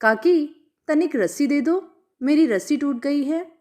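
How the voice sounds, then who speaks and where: A woman's voice: high-pitched, lively intonation, unhurried, a little mellow, voice pushed up. A woman voicing a humble, kindly young village mother with deferential, rustic phrasing in a storytelling reading. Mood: polite request.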